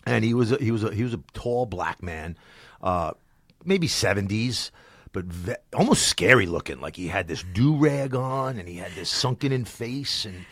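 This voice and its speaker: A man with a slight cold, chatting as a podcast guest in a studio, telling animated stories and laughing often. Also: on the low side, moderate pace, breathy edge, dry recording. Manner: animated storyteller, laughs often, slips into voices. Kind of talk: casual interview chat.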